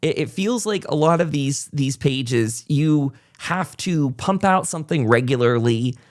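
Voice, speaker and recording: A man's voice: fairly high pitch, lively intonation, unhurried. A man hosting a casual interview podcast, talking in a rambling, reflective way as he thinks aloud. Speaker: podcast host and content creator.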